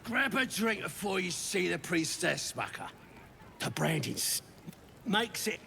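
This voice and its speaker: A male actor playing a brutish goblin warrior in a fantasy game, with a gravelly voice. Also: high-pitched, big pitch swings, unhurried, pushed and bright, a little hiss or hum, full character voice. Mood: gruff. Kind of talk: fantasy game creature acting.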